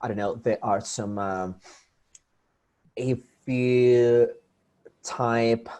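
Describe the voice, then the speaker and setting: A man's voice: mid-range voice, steady tone, drawn-out words, dark tone. Spanish-accented male English teacher, explanatory classroom delivery, talks directly to students. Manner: explanatory, addresses students directly, many restarts. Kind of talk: online class lecture.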